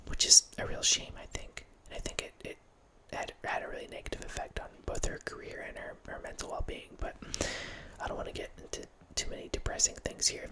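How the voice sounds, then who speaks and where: A man in his thirties whispering a relaxed ASMR ramble as host, close to a studio mic in a small home room, with frequent restarts. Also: low-quality recording. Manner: whispering throughout, relaxed film-nerd musing with frequent restarts. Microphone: studio mic close up, headphones on.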